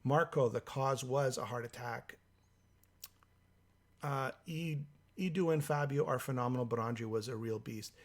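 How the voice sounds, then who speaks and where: Host, male, hoarse from a cold, reading viewer comments on a live stream, halting delivery, mid pitch. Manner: halting, thinking aloud, many fillers. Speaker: livestream host.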